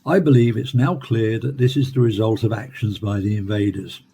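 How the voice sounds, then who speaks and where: An actor playing a measured academic professor in a radio play, speaking formally and deliberately. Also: male voice, lowish voice, fairly even intonation, warm tone, slight background noise, natural voice. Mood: earnest, explanatory. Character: measured male Cambridge professor explaining alien attacks. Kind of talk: radio play acting as interviewed expert.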